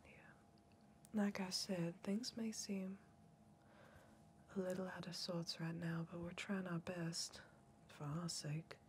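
A young woman playing an irritated, weary backwoods criminal in a whispered, soft-spoken ASMR roleplay, muttering at a clumsy partner. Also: lowish voice, level tone, slow, a little hiss or hum. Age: young adult. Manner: exasperated, muttering.

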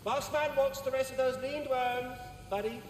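A man's voice: very high voice, steady tone, normal speed, slight background noise. A man voicing a brash, irritable, taunting spectral squirrel in a video game, cocky and nagging. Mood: taunting, impatient. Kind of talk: video game character acting. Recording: echoey, distant, long reverb, music behind.

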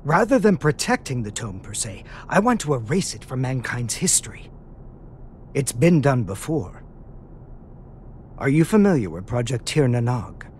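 An actor playing a solemn, polite young man in an animated game, speaking in a measured, formal and understated way. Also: medium pitch, slight background noise, natural voice. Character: soft-spoken, solemn, polite young man, a feared scientist. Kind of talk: dubbed animated game cutscene acting.

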